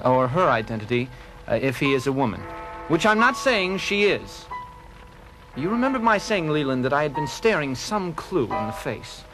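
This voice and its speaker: A man playing an earnest, self-important detective hero in a mock film-noir radio drama. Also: medium pitch. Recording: music behind the voice.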